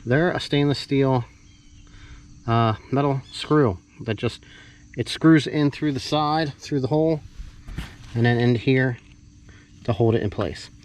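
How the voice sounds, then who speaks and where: A man giving a casual, off-the-cuff unboxing commentary, halting and thinking aloud as he handles parts with a camera in hand. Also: medium pitch, a little mellow. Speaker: hobbyist vlogger doing a product unboxing. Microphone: handheld camera held while working, varying distance. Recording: noisy handheld camera audio, clear background noise.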